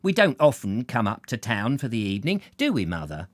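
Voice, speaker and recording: A man voicing an elderly male doctor, indignant and easily shaken, his voice jumping high in outrage. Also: wildly swooping pitch, brisk, dry recording. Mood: genial. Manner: indignant, rising to high exclaims.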